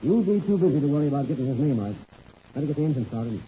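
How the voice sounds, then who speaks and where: A hearty, reassuring aviator with a very mellow tone and lively intonation. It is plain-spoken vintage radio serial acting with faint hiss. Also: male voice, on the high side, racing. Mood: genial. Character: genial, steady male aviator, mentor to a youngster. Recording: muffled, hissy old radio recording.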